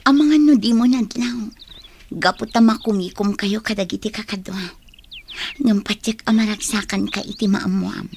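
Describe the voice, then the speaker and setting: A woman's voice: mid-range voice, moderate pace, darkish tone, slight background noise, natural voice. A woman playing an emotional, caring young village woman in a sentimental audio drama, plaintive and earnest. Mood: tender, hesitant. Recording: music bed behind the voice.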